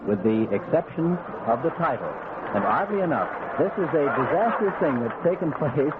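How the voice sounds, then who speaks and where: A man's voice: a little high, very animated, normal speed. A male radio announcer ad-libbing live commentary to fill time on an old broadcast. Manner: stalling, filling time. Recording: tinny 1940s radio, loud orchestra behind. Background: orchestra music.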